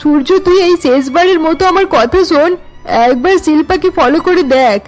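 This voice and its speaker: Woman acting an anxious, high-pitched pleading mother. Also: normal speed, a little mellow, faint music behind. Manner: pleading, urgent. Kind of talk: cartoon drama dialogue.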